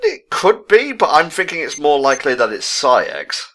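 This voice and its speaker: A man's voice: fairly high pitch, wildly swooping pitch, normal speed, a bit bright. A man doing joking game commentary with dramatic readings, in a sarcastic, profane manner. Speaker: playthrough commentator.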